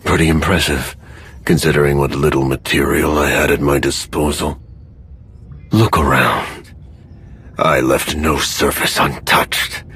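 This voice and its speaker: A man playing a smug, menacing video game villain with a raspy voice and a taunting, self-satisfied manner. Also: flat delivery, a little slow, a bit bright, slight background noise, exaggerated voice. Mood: smug, threatening. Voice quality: very deep, gravelly rasp. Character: smug, menacing villain, cunning bomb-maker.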